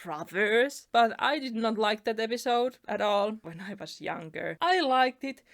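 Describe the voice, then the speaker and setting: A woman's voice: fairly high pitch, lively intonation, unhurried. Chatty young woman with a Finnish accent. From Finland. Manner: chatty, earnest, laughs between phrases. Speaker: animation YouTuber. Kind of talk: storytime narration over a speedpaint.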